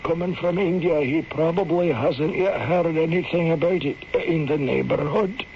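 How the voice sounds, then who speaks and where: An actor playing an elderly, mild village reverend who speaks in a hesitant, musing way in an old-time radio drama. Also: male voice, fairly high pitch, normal speed, natural voice. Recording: muffled, noisy old radio broadcast.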